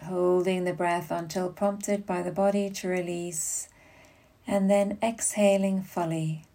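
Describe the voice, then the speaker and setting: A woman leading a guided meditation as a yoga nidra guide, giving soothing, unhurried instructions with long pauses. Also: on the low side, little pitch movement, mellow.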